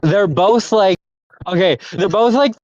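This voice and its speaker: A man's voice: sits high, measured pace, a little mellow, no echo. A young man in casual chat as podcast co-host, rambling with restarts and laughter. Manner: rambling, laughing, self-interrupting. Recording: dull lo-fi mic.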